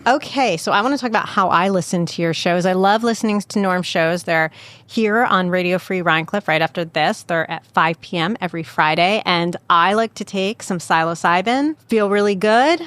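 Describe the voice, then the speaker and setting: Bubbly American woman, lowish voice. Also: moderate pace, a bit bright, dry recording. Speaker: host. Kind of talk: casual chat.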